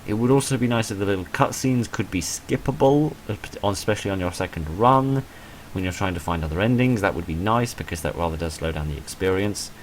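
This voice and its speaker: A man with a low voice talks through a video game as a casual let's-player. His delivery is measured and understated, with a mildly hedging way of putting things. There is faint noise behind the voice. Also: low-quality recording. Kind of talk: casual game playthrough commentary, reading text aloud and reviewing.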